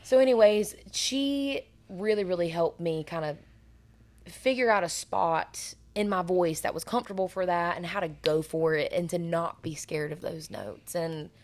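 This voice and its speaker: Young female guest, raspy alto, earnest storyteller. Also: fairly low pitch, expressive, moderate pace, a little mellow. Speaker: guest singer. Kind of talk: casual interview chat.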